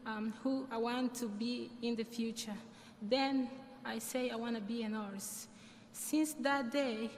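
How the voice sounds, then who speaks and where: Student woman, non-native english accent, slow formal graduation speech, faint background noise. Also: fairly high pitch. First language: not English. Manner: earnest, measured delivery. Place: large hall. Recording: hall reverb, faint noise.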